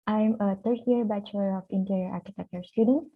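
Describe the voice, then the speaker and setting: Young female student presenter from Indonesia, soft and hesitant, on a tinny video-call line. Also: mid-range voice, steady tone, brisk, slightly rough. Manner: soft-spoken, hesitant with frequent fillers. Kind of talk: academic presentation.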